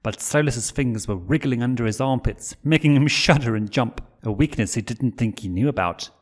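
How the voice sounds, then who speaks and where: A man reading fan fiction aloud as the narrator, in an expressive storytelling style. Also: medium pitch, normal speed. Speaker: fanfiction reader.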